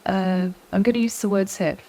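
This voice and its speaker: Soft-spoken middle-aged woman giving advice. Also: mid-range voice, steady tone, moderate pace. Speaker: guest. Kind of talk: interview conversation.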